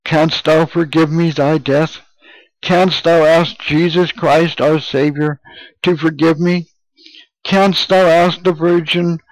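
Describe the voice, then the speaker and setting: A man's voice: fairly high pitch, dry recording, low-quality recording. A man reading aloud as a grieving drunken mother, pleading in old-fashioned speech. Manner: wailing, pleading, archaic speech. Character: grieving drunken mother, anguished, self-accusing.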